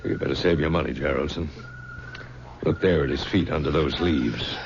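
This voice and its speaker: An actor playing a brisk, skeptical lawman in a 1970s radio drama. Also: extremely low pitch, vocal fry, crowd noise. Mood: questioning. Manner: brisk, questioning. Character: skeptical lawman in charge of the search. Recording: dull old radio recording with loud hiss.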